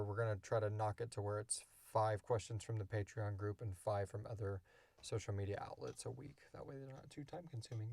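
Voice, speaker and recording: A man's voice: medium pitch, a little mellow, dry recording. A man hosting a podcast, answering questions in casual, unscripted talk from a small booth. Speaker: podcast host and creator. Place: small enclosed recording booth.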